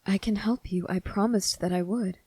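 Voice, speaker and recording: A woman playing a kind, earnest teenage boy cadet in an ASMR roleplay, speaking gently, politely and with some hesitation. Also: medium pitch, steady tone, moderate pace, slightly rough, no echo, natural voice. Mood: concerned, insistent.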